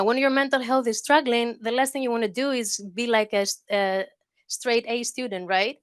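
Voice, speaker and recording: A woman's voice: a little high, dry recording, studio quality. A woman from Greece with a Greek accent, giving a conversational conference talk at a mic, with fillers and restarts. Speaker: conference speaker. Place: conference hall. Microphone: handheld or lectern mic.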